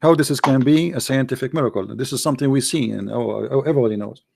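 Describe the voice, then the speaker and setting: A man's voice: mid-range voice, quick pace, vocal fry, noisy background. A man whose first language is Arabic, hosting a live unscripted debate in an insistent, challenging manner, often repeating himself. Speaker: host debating a guest.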